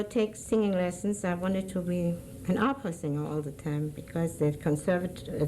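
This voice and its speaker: Older German-accented woman, low and mellow. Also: a little hiss or hum, rough recording. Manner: hesitant, searching for words. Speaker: interviewee. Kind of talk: oral history interview.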